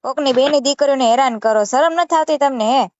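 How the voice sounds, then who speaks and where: A woman's voice: high voice, a little fast, darkish tone, dry recording. A woman with a Saurashtra Gujarati accent arguing assertively on a phone call, scolding and repeating herself. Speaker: channel creator taking a call. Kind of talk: argumentative phone conversation. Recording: muffled phone call recording.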